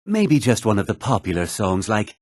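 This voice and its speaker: An actor playing a haughty, put-upon fantasy townsman in a video game, husky, theatrical and often sarcastic. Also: on the low side, very animated, moderate pace, no echo, clean studio sound. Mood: formal, deferential. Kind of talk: video game character dialogue.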